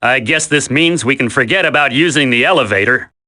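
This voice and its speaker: An actor playing a cocky, wisecracking, flippant commando in a dramatic video game. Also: male voice, medium pitch, a little fast, pushed and bright, close to an everyday voice.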